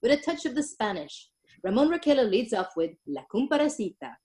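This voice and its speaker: A woman's voice: a little high, sing-song, fairly quick, voice pushed down. A woman playing a showy hotel ballroom announcer introducing dance music in a 1930s radio play. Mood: genial, showy.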